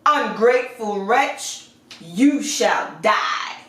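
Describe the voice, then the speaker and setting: A woman's voice: medium pitch, animated, drawn-out words. Woman putting on a menacing, commanding beast, echoey. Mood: furious. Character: terrifying angry male beast, booming and growling. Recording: echoey room, far from the mic.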